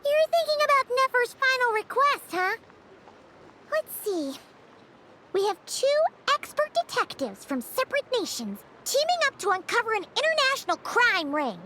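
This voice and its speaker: A woman voicing a tiny, cheerful, excitable fairy-like girl companion in a video game, with a squeaky, chirpy, childlike voice. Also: moderate pace, slight background noise, heavily acted. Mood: pleased, musing. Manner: chirpy, expressive. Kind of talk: video game character dialogue. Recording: loud game music behind.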